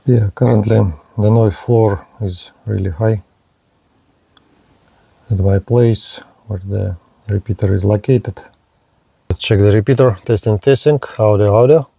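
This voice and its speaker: A man narrating a hobby field test vlog step by step in halting, short, repetitive phrases. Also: on the low side, gravelly, mellow, husky, no echo. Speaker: radio hobbyist presenting a range test.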